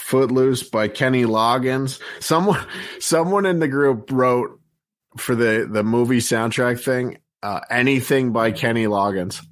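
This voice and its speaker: A man hosting a podcast, reading out a track list in a plain, steady way with many fillers. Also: medium pitch, a little slow, no echo. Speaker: podcast host.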